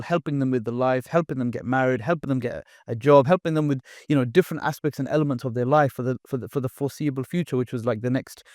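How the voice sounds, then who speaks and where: A man's voice: mid-range voice, dry recording. Uk guy chatting on a podcast, laid back, lots of um and like, dry sound. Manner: relaxed storytelling with frequent fillers and restarts. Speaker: guest, content creator. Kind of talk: casual podcast interview.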